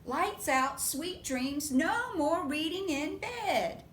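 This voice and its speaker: A woman voicing a stern, exasperated mother monkey from a picture book, scolding loudly and emphatically about bedtime rules. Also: animated, slow pace, exaggerated voice. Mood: firm, stern. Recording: roomy echo with faint low rumble.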